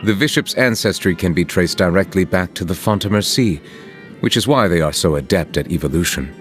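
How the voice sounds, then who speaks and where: An actor playing a solemn, courteous chief justice in a fantasy video game, speaking in a formal, measured, eloquent and reserved manner. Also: male voice, sits low, animated, faint music behind. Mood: reflective, solemn. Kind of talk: scripted video game character lines.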